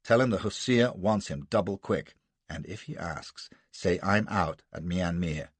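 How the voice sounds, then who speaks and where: A man reading aloud and voicing a gruff, blustering American colonel with a barking, bullying manner. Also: low voice, unhurried, no echo. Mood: brusque.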